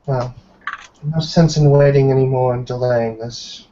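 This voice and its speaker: A man's voice: medium pitch, fairly even intonation, mellow, natural voice. Man playing a stern, disapproving old grandmother. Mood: grave, disapproving. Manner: measured, formal, grave. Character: stern, disapproving old grandmother, dignified elder warrior woman. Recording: muffled online call with background noise.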